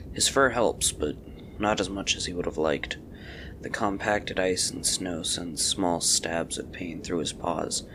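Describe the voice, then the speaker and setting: A man's voice: low-pitched, noisy background. A man reading scripted fantasy story narration as the narrator of an audio drama.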